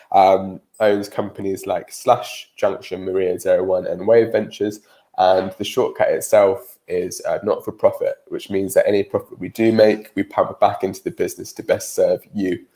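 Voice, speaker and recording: A man's voice: on the low side, steady tone, normal speed, warm tone. A British man with a cold and a nasal voice, giving a live-streamed career webinar presentation with frequent ums.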